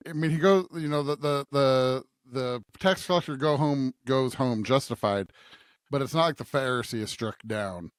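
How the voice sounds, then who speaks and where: A man's voice: mid-range voice, normal speed, no room sound. A man co-hosting a podcast, casually discussing scripture and thinking aloud as he checks in with his partner. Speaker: podcast co-host.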